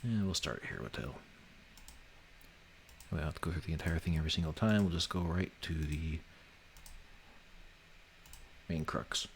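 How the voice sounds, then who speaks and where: A man's voice: extremely low pitch, lively intonation, fairly quick, gravelly, slight background noise. A man hosting a casual development livestream, joking and swearing, sometimes muttering to himself as he works. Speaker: livestream host and game developer. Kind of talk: casual chat while working on a game. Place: online voice call during a development stream.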